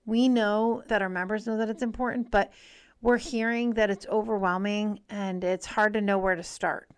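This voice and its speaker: A woman hosting a webinar, reading a prepared introduction in a measured way over a call. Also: mid-range voice, clean tone. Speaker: webinar host. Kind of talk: scripted introduction.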